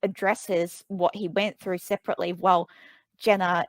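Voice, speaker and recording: Woman author on an interview, lowish voice, thoughtful and a bit hesitant, lots of ums, dry sound. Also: level tone, moderate pace, no room sound. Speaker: author guest. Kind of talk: conversational interview.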